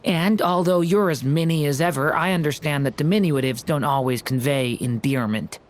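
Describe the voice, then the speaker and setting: A man's voice: fairly high pitch, moderate pace, lightly acted. An actor playing an earnest, polite, naive young man who words things formally in a video game. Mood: respectful, earnest. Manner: formal, careful wording.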